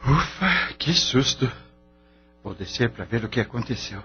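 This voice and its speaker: Radio drama veterinarian, played by a man: easygoing but scared under threat, very lively mid-range voice. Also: mid-range voice, a little slow. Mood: startled, resigned. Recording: dull lo-fi audio, music behind.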